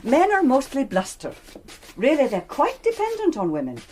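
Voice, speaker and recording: An actress playing an inspector's shrewd, opinionated wife in a radio drama, knowing and gently needling. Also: female voice, sits high, wildly swooping pitch, moderate pace, slight background noise. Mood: knowing, teasing. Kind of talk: BBC radio drama acting.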